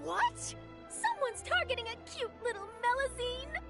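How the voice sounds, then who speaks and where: A bubbly young newswoman from a video game. The voice is very high and leaps around in pitch, chatty and eager. Music plays clearly behind it. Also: measured pace, heavily acted. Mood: curious. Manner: animated, bubbly, confiding. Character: eager, chatty young female newspaper reporter. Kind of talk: video game dub acting. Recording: music under the voice.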